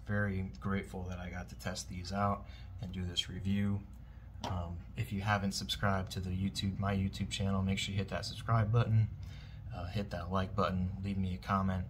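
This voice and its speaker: A man in his thirties reviewing beard products in a relaxed, casual vlog from a dim bedroom, often repeating words of praise. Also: deep voice, slight background noise.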